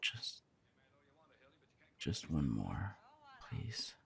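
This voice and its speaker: A man acting as a bashful punk roommate hopelessly in love. The voice is low and unhurried, with halting, earnest phrasing, made for an intimate ASMR romance roleplay. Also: wildly swooping pitch, gravelly, a bit bright, heavily acted. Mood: tender, pleading.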